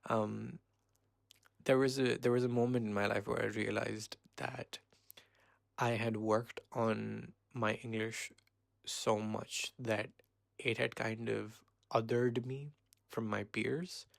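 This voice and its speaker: A man in his twenties from Pakistan, hosting a casual solo podcast and rambling with many pauses and self-corrections. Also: mid-range voice, little pitch movement, vocal fry, no echo, clean studio sound. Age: 20s. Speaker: podcast host.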